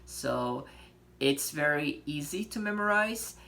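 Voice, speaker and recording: Man, gravelly. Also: fairly high pitch, animated, slow, sharp tone. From Brazil. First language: Portuguese. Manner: explains patiently with many fillers and check-ins. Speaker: language teacher. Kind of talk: casual video lesson.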